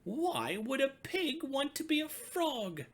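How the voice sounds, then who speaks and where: A woman's voice: high-pitched, big pitch swings, slow pace, voice pushed up, full character voice. A woman voicing indignant, bossy pond frogs from a children's storybook in an exaggerated, exclaiming way. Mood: offended.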